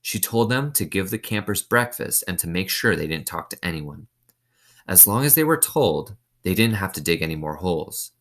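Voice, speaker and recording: Low-voiced man reading a story aloud. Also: animated, moderate pace, fairly bright tone. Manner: explains simply, asks listeners questions. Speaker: reader of a children's novel. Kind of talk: read-aloud storytelling with explanatory asides.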